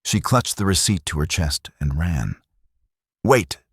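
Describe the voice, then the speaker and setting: A man narrating a scripted western story in a solemn, suspenseful, dramatic way with deliberate phrasing. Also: very deep voice, animated, breathy edge, dry recording, studio quality.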